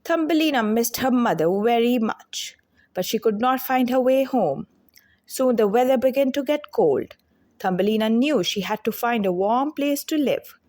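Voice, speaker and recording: Female storyteller for children's read-aloud. Animated, deliberate delivery, slightly high register. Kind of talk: scripted children's fairy tale read-aloud.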